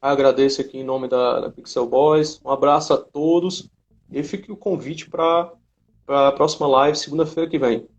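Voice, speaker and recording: A man with a noticeable fry in his voice hosts an informal online chat. He talks in halting, conversational phrases and often tags them with a small question. The audio is a little dull, like a compressed live stream. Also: medium pitch, fairly even intonation. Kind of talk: casual live interview chat. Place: remote live video chat on social media.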